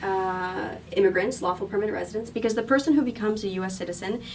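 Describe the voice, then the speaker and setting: A woman's voice: medium pitch, fairly even intonation, fast talker, a little mellow, distant mic, slight background noise. A woman with a non-local accent answering interview questions in an earnest, explanatory way, often repeating words as she thinks. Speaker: guest.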